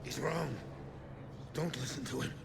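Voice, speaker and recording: A man playing a stubborn, wounded old soldier with halting, strained, gruff speech, in a dramatic video game performance. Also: mid-range voice, fairly even intonation, noisy background. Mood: strained, pleading.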